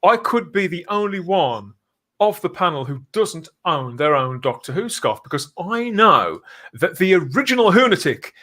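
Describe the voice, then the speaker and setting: A middle-aged man hosting a lively, chatty live fan podcast. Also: high voice, normal speed. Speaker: podcast host.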